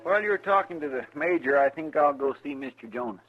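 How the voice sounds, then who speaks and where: An American actor playing a good-natured, deferential frontier helper in a 1950s radio western, talking in a folksy, colloquial way. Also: male voice, fairly high pitch, lively intonation, quick pace, faint music behind. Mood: easygoing. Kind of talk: 1950s radio drama acting. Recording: tinny, noisy vintage 1950s radio recording.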